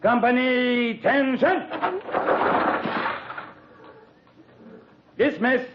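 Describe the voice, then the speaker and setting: An American actor playing a stern, menacing cavalry captain in a 1950s radio western, with a clipped, dry, sardonic delivery. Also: male voice, extremely high pitch, measured pace. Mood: barking command. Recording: muffled, noisy 1950s radio recording.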